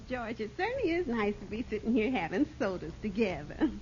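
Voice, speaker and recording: A woman's voice: fairly high pitch, very animated, normal speed, darkish tone. An actress playing a sweet but practical young woman in a 1940s radio comedy. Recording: dull, noisy vintage radio recording.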